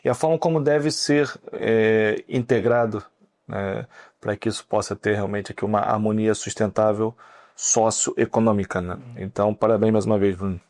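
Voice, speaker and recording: A man's voice: on the low side, steady tone, moderate pace. A man co-hosting a podcast, summing up and congratulating the guest, tagging sentences with little question words. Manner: warm congratulating wrap-up, filler "né" tags. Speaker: podcast host. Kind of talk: podcast interview.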